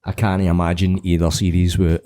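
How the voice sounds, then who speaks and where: A man's voice: sits low, level tone, a little fast, dark tone. A Scottish man co-hosting a podcast in an easygoing, sweary chat, often ending lines with "man". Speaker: podcast co-host. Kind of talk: casual chat.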